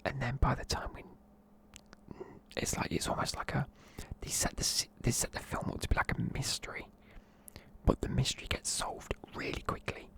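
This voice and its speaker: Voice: medium pitch, flat delivery, moderate pace, creaky, fairly bright tone. A tired, sleepy speaker, whispering a rambling film review close to the mic in the style of an ASMR video. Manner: rambling, loose, lots of fillers. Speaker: YouTuber reviewing films.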